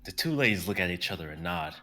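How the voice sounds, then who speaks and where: A man narrating a live dramatic reading in a steady, plain way. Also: deep voice, fast, a little hiss or hum.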